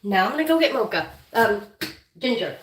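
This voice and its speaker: A woman with a head cold who keeps clearing her throat, chatting casually on a home livestream while caring for her guinea pigs and talking fondly to them. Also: a little high, big pitch swings, a little fast, echoey room, distant mic, background noise. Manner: chatty, talks to her pets affectionately. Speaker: guinea pig channel host. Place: room at home, weighing pets on a counter.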